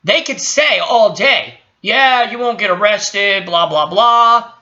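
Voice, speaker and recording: Very sharp, indignant lo-fi commentator. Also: medium pitch, animated, slow. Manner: indignant, profane, emphatic. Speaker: commentator reacting to a recorded call. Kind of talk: impromptu opinionated commentary.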